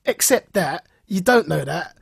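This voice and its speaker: Husky man chatting, close and dry. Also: sits high, measured pace, no echo. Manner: animated storyteller, repeats phrases for emphasis. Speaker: podcast co-host. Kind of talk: casual chat recounting and reviewing a show.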